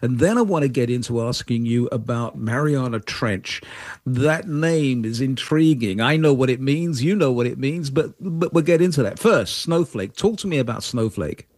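Lively-voiced male radio host. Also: medium pitch, moderate pace, no echo, clean studio sound. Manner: rambling, hesitant, with repeated words. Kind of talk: radio interview.

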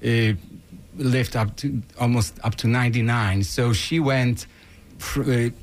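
A man's voice: medium pitch, fairly even intonation, a little slow, slight background noise. A middle-aged man from Poland with a Polish accent, a guest on a radio show who speaks earnestly and gratefully, with a lot of fillers. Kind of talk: casual interview talk.

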